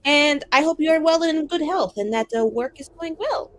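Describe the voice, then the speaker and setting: A woman's voice: sits high, fairly quick. A woman telling an improvised tabletop roleplay scene casually and dramatically, colloquial and sweary. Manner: dramatic, profane, colloquial with many "like"s.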